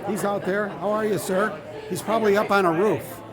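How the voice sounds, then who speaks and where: A man hosting a live-streamed show, a little hoarse, chatting casually in a rambling, joking way with halting restarts. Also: high voice, steady tone, a crowd in the background. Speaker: show host. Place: large indoor hall with a crowd.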